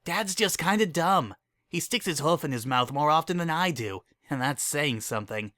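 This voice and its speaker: Man voicing a bright, reassuring pony mare. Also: a little high, animated, moderate pace, dry recording, studio quality, voice pushed up. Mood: tender, reassuring. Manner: explanatory, reassuring. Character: patient, affectionate female unicorn pony, reassuring fiancée.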